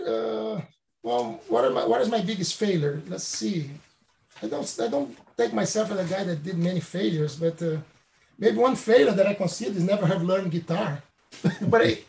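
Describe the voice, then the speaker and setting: A Brazilian-born professor in his late fifties speaks in a high, gravelly voice. His phrasing is halting and non-native, full of restarts. The room around him is echoey. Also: darkish tone, slight background noise. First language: Portuguese. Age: 50s. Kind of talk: reflective interview answers.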